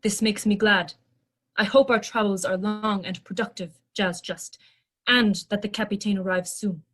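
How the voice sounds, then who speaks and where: Woman playing a formal, slightly husky first mate. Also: medium pitch, fairly even intonation, moderate pace, a bit bright, close to an everyday voice. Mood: pleasant, formal. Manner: stilted, formal, earnest. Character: polite, literal-minded, formal alien first mate. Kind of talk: sci-fi audio drama acting.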